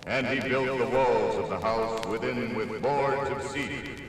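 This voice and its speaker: Man reading bible real slow n solemn, huge echo, sounds far off. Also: mid-range voice, fairly even intonation, soft background music. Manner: stately, deliberate. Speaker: scripture reader. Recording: cavernous echo, distant, faint noise.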